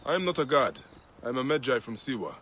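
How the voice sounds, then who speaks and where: An actor playing a brooding, vengeful Egyptian warrior in a video game, gravelly, grave and intense. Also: male voice, mid-range voice, very animated, quick pace, fairly bright tone, a little hiss or hum. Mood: earnest. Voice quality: gravelly, husky. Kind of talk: dramatic video game acting.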